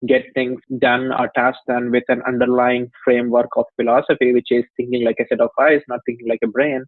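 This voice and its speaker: A man's voice: medium pitch, little pitch movement, a little fast, no room sound. A man from India with an Indian accent, a company chief explaining his work at length in an interview, with many "you know"s and light laughs. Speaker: company CEO, guest. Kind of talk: interview conversation. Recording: phone-like dry line.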